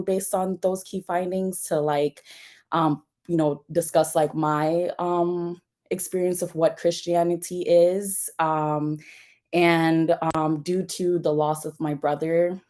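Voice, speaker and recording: A woman's voice: low-pitched, warm tone. A young woman from Jamaica, a nonprofit founder, telling her story in a casual interview with many fillers and restarts.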